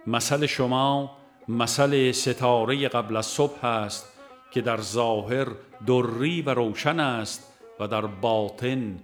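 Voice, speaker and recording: A man narrates a religious audiobook in a formal, solemn register, with a mid-pitched voice. His phrasing is measured and deliberate. Soft plucked-string music plays faintly behind him.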